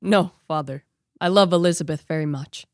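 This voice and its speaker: A woman's voice: fairly low pitch, normal speed, dry recording, studio quality, close to an everyday voice. A woman acting a troubled, proud young male scientist in a radio play, formal and solemn. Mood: conflicted.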